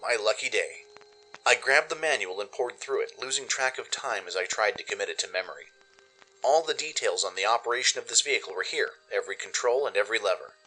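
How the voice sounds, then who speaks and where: Bright-voiced man narrating a radio drama. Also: on the low side, animated, fast, poor audio. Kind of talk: dramatic scripted first-person story narration.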